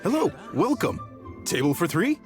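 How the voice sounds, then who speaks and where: A proud, welcoming restaurant chef in a game. His voice sits a little high and moves lively up and down as he talks up his cooking. Soft game music plays behind. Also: moderate pace. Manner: hospitable, proud, chuckling.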